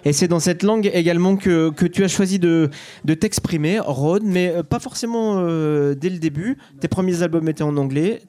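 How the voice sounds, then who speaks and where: A man with a somewhat high voice presents a music programme. He introduces a record and then asks his guest questions, stumbling over his words. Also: normal speed, mellow. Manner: hesitant, with many fillers when addressing the guest. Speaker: radio host. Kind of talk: presenting music between songs.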